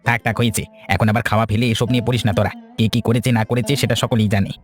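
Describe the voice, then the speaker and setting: A man's voice: mid-range voice, flat delivery, rapid-fire, faint music behind, lightly acted. A man voicing a peacemaking younger brother in a village family cartoon. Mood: placating. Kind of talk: cartoon family drama dialogue.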